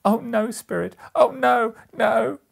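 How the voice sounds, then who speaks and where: A man's voice: very high-pitched, slow, creaky, pitched above the performer's own voice, heavily acted. A man voicing an anguished, repentant old miser who pleads dramatically with a spirit in a storybook reading. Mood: desperate pleading. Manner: dramatic, beseeching delivery.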